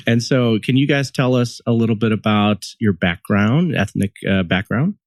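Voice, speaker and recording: A man's voice: medium pitch, normal speed, darkish tone, dry recording, studio quality. A man hosting a podcast as a couples therapist, reading a scripted intro and then chatting with guests in a friendly, easy way with light laughter.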